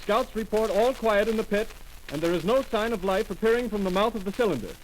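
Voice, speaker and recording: A man playing a high-voiced urgent radio announcer. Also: fast, close to an everyday voice. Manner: crisp announcer delivery. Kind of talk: 1930s radio drama acting. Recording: scratchy 1930s radio recording.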